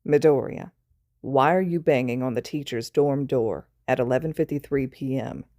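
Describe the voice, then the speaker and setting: A woman voicing a tired, grumpy adult male teacher who talks flat and stern in a storybook reading. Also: rumbling low, normal speed, dry recording, studio quality. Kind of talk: fan fiction read aloud.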